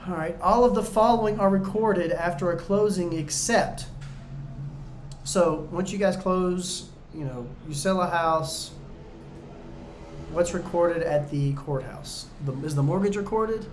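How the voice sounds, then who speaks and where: A man tutoring viewers through real estate exam questions in a casual, chatty way, joking and going a bit hoarse. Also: high voice, measured pace, roomy sound, a little hiss or hum. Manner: chatty, talks straight to viewers, jokey asides. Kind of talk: casual practice-exam walkthrough.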